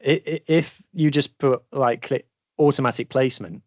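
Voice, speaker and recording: A man's voice: mid-range voice, moderate pace, no room sound. A man hosting a rugby podcast, asking his guest questions in a chatty, inquisitive, enthusiastic way. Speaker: podcast host. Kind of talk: casual podcast interview.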